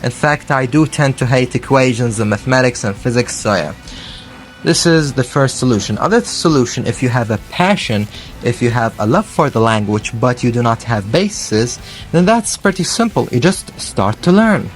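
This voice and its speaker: A man in his twenties from Iraq, earnestly giving spoken advice on learning English, pausing on "uh" and correcting himself as he goes. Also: mid-range voice, lively intonation, soft background music. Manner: earnest and thoughtful, with frequent "uh" and self-corrections. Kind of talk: casual spoken advice to camera.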